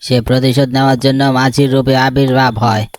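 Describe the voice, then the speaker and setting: A man voicing a grave older village man in an animated ghost story, speaking solemnly and ominously. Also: mid-range voice, a little fast, close to an everyday voice. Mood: grave recounting.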